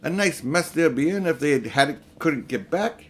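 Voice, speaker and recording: A man's voice: on the high side, lively intonation, normal speed, slightly rough. A man voicing an outspoken schoolboy nephew in a storybook, blunt, accusing and defiant. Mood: indignant. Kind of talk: storybook character reading.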